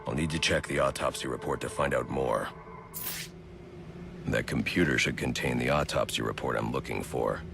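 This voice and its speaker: An actor playing a grim, intense young vigilante in a video game cutscene, gravelly and grave, speaking with command. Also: male voice, normal speed, background noise. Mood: focused, analytical. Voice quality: gravelly, low. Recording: loud music behind the voice. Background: loud music.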